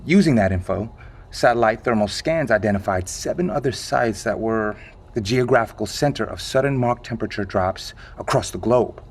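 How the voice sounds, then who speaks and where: A low male voice from an actor in an audio drama, playing a calm insider who holds secrets. He explains grim matters in a measured, matter-of-fact way and stays evasive when pressed. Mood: grave, measured.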